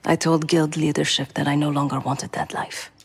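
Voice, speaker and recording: Creaky-voiced, guarded ex-assassin woman. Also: sits low, level tone, normal speed, heavily acted. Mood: subdued, confiding. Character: guilt-haunted former guild assassin, a guarded, hardened adult woman. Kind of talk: dramatic video game cutscene acting.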